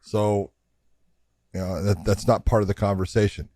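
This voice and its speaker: Male podcast co-host, fairly low voice, plain matter-of-fact delivery, dry sound. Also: fairly even intonation, normal speed, dry recording. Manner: matter-of-fact, reasoning things through out loud. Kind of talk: casual MMA podcast chat.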